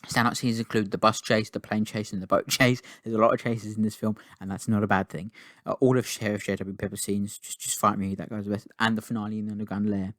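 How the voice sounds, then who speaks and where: A man talks through a film review at a brisk clip, his low voice staying flat and level. He rambles in a chatty way, restarts himself often and swears casually. The sound is dry and close. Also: no echo.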